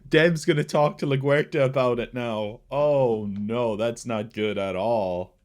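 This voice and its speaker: A man's voice: mid-range voice, unhurried. A man hosting a reaction video with casual, chatty, informal commentary in a slightly nasal voice. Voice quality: slightly nasal early-morning voice.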